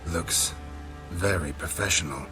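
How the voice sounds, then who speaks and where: A deep-voiced, world-weary monster hunter from a fantasy video game. He is laconic and deadpan, dropping words in clipped phrases, with music clearly behind him. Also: a little slow. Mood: dry. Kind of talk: video game character acting.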